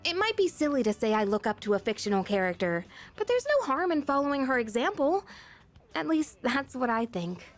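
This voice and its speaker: High, lilting earnest teenage schoolgirl. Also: big pitch swings, fairly quick. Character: friendly, earnest teenage schoolgirl, gentle and a little shy. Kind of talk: English video game voice acting. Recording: background music under the voice.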